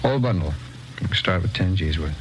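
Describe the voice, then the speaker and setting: Low-voiced, easygoing drug dealer, crackly radio. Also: male voice, lively intonation, fairly quick. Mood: casual, businesslike. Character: easygoing, smooth-talking male narcotics dealer. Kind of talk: 1950s radio crime drama acting. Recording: noisy, crackly vintage radio recording.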